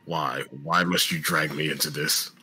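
Man as a deep, weary robot bear guardian. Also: moderate pace, husky, full character voice. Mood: exasperated. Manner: exasperated, deadpan. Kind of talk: improvised comedic game fandub.

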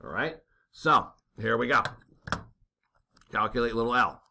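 A man works through a navigation calculation as a lesson, talking over his own writing. His voice is bright and a bit tense. He thinks aloud, with many fillers and quick "okay?" checks. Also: medium pitch, lively intonation, gravelly, husky. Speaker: navigation instructor. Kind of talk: worked-problem math lesson.

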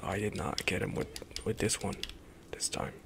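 A young man whispering ASMR commentary while playing a game, soft and hushed with sudden loud outbursts, into a desk mic in a small room. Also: low voice, animated, normal speed, creaky, background noise. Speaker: gaming streamer. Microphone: headset and desk mic, webcam in corner.

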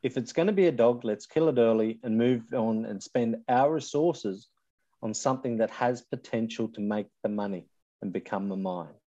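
A man's voice: medium pitch, normal speed, warm tone, no echo. An Australian man co-teaching an online class, with a dry manner, cutting in to correct his partner. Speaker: co-instructor, metallurgist. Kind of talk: casual co-teaching banter. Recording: dull, dry lo-fi call audio.

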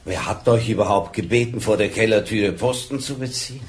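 Guy playing a blunt grumpy old cop, kinda low voice, dry humor, radio play. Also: brisk, lightly acted. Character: gruff older Bernese police sergeant, dry wit. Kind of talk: 1980s radio drama acting.

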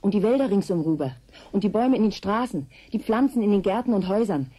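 Urgent, husky woman researcher. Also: mid-range voice, expressive, fast, mellow. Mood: dark foreboding. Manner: urgent, brooding, insistent. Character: grave, alarmed woman researcher warning of vengeful plants. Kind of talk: 1980s German radio drama acting.

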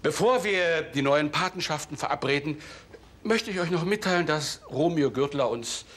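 Older man, theatrical schoolmaster, high voice, pompous, playful, declamatory, TV drama. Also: lively intonation, normal speed, a bit bright. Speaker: teacher. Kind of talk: TV drama acting.

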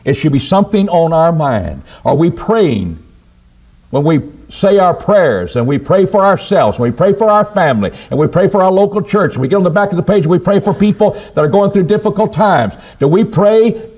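An older man preaches from behind a church pulpit, his voice climbing and dropping as he works through his points. He sits a little high for a man and keeps throwing questions at the room before he answers them. He speaks into a pulpit microphone on a stand. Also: moderate pace. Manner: lively, rises and drops in pitch for emphasis, asks rhetorical questions. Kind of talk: sermon. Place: church auditorium at a wooden pulpit.

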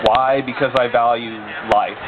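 A man's voice: medium pitch, steady tone, unhurried, a crowd in the background. Hesitant young man at a campus open mic. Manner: hesitant, lots of "like". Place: outdoors on a university campus. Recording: tinny lo-fi with loud background noise.

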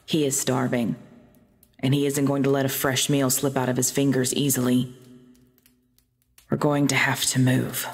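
A woman playing a commanding, possessive vampire ruler and lover in an ASMR roleplay drama, speaking in a formal, imperious, measured way. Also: very deep voice, flat delivery. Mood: commanding, menacing.

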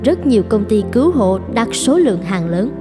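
A woman narrates a scripted story in a fairly high voice. Music is clearly audible behind her. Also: big pitch swings, moderate pace.

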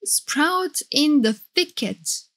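A woman giving casual, excited reaction commentary as a streamer, playful and gushing. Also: sits high, extremely slow. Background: game trailer music.

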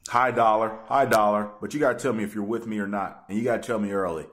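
A man's voice: on the low side, steady tone, quick pace, a bit bright. A young man making prank phone calls in a slangy, repetitive, pushy way, recorded by a camera across a table. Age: young adult. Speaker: prank caller, video host. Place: small room indoors at a table.

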